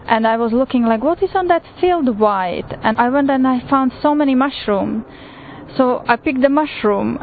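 Slovak-accented woman on a tinny line. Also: a little high. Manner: unhurried, with frequent pauses and fillers. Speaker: pilgrim sharing testimony. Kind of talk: personal testimony. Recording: tinny phone-like recording with faint noise.

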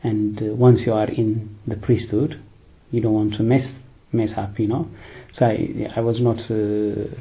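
Low gravelly priest, tinny sound. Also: male voice, little pitch movement. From Eritrea, raised in Ethiopia. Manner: hesitant, unhurried, many fillers. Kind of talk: personal vocation testimony.

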